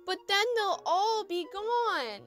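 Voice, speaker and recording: A woman's voice: very high-pitched, expressive, takes it slowly, a bit bright, soft background music, exaggerated voice. A woman voicing a small, eager young female dragon in an audio drama, chirpy, pushy and childlike. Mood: bubbly, insistent. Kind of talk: fan audio drama acting.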